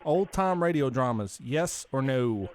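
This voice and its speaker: A man co-hosting a podcast in loud, casual banter, with a pushy, badgering way of talking. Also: mid-range voice, expressive, unhurried, slight background noise. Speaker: podcast co-host making prank cold calls.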